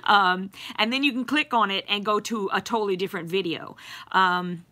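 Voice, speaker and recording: A woman's voice: medium pitch, normal speed, sharp tone. A woman in her forties hosting a casual live stream from her home craft room, talking at a desk webcam with lots of fillers and little laughs as she rambles along. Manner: rambling, chatty, many fillers and giggles. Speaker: YouTube livestream host.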